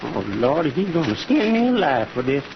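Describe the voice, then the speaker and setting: An actor playing a loyal, bashful and honest cowhand with a Southern US accent and a folksy, deferential way of talking in an old radio western. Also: male voice, on the high side, sing-song, moderate pace, creaky. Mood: sheepish. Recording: muffled, noisy old radio recording.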